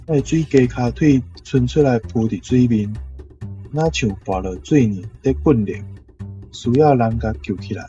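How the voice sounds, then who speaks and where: A man's voice: medium pitch, normal speed, mellow, faint music behind. A man with a Taiwanese accent reading model translations as a language teacher, on a slightly lo-fi recording. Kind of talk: model translation read aloud. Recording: slightly dull, lo-fi sound.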